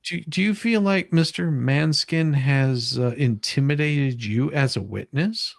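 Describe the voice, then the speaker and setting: A person reading the part of a lawyer questioning a witness in a dramatic deposition reading, halting and repeating phrases with sympathy. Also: mid-range voice, creaky, no echo, studio quality. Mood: probing.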